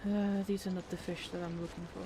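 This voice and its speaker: A woman's voice: fairly low pitch, level tone, a little fast. A woman giving casual video game commentary as a streamer, muttering short offhand remarks to herself. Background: game music.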